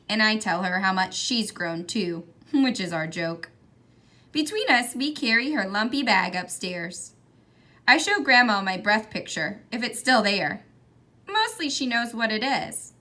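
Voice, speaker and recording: A woman reading a children's picture book aloud in an expressive storytelling style. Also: medium pitch, measured pace. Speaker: read-aloud reader.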